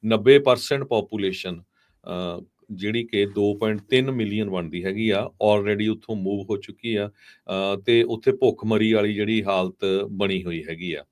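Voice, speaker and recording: A radio news presenter, a man. He relays live reports in halting phrases, mixing in English terms. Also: on the low side, fairly even intonation.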